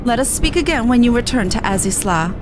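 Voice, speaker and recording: An earnest, courtly teenage artisan from a fantasy game, voiced by a woman. The voice is high and the phrasing formal and old-fashioned, with clear music behind it. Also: animated, brisk, close to an everyday voice. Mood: formal gratitude. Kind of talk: video game dialogue read aloud.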